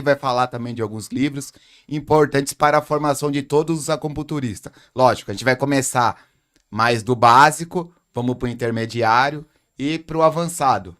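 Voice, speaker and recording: A man's voice: mid-range voice, a bit bright. Conversational Brazilian man hosting a podcast. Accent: Brazilian Portuguese. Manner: conversational, poses rhetorical questions, tag "né". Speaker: podcast host, acupuncturist. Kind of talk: casual podcast chat.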